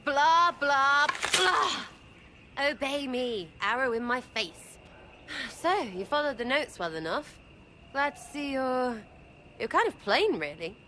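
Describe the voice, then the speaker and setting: A cheeky, crude young archer in a game. She talks high and bouncy with a bright edge, tossing out flippant, mocking asides. There is faint ambient noise under her voice. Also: expressive, takes it slowly, heavily acted.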